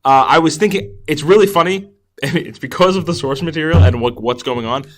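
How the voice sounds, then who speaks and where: Guy podcast cohost, rambly and excited movie chat, keeps restarting his sentences. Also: a little high, fairly quick. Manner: enthusiastic, rambling with repeated false starts. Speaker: podcast co-host. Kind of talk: casual movie review chat.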